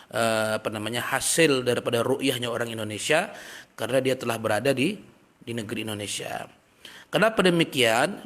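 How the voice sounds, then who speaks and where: A man teaching a religious study lesson, reading passages in Arabic and then explaining them in a relaxed, chatty way with everyday examples. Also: mid-range voice. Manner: reads Arabic text, then explains in plain talk. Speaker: Islamic teacher.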